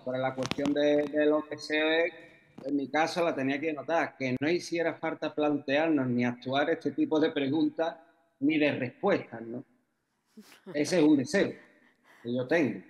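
A man in his fifties on a dull, lo-fi video call line, his voice somewhat high for a man. He speaks reflectively, and the sound echoes through a large hall. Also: fairly even intonation, measured pace. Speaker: panel speaker joining remotely.

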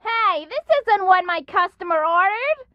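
An irate diner waitress in a video game. Her voice is loud, piercing and extremely high. She scolds and demands with sarcasm, in a dry, slightly muffled recording. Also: expressive, unhurried, dry recording. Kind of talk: video game character dialogue.

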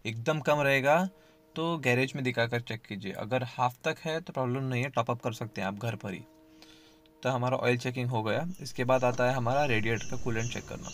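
A man walks viewers through car checks in a plain, explanatory tone, calling them friends. He speaks at a mid pitch, recorded on a handheld phone outdoors beside a car, with a faint hiss of open-air noise behind him. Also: brisk, fairly bright tone. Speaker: car vlogger. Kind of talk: how-to car maintenance walkthrough.

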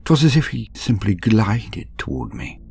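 A man voicing a deep, husky, haunted captive. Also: wildly swooping pitch, darkish tone, dry recording, exaggerated voice. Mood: awed dread. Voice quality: low, husky. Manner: brooding, measured, dramatic delivery. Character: weary, haunted male captive laborer in a grim sci-fi future. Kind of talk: dramatic first-person horror narration. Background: music.

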